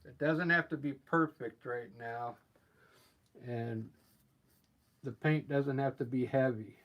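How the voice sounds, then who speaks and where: A man with a scratchy voice from allergies, painting live and explaining his steps in a relaxed, thinking-aloud way. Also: medium pitch, takes it slowly, dull audio. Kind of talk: casual live painting demonstration.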